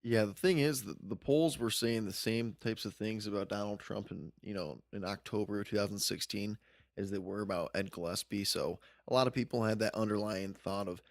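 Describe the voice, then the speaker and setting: A man's voice: lowish voice, brisk, vocal fry, no room sound, studio quality. A man co-hosting a political podcast, talking casually with "you know" fillers. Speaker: podcast co-host. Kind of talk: political commentary chat.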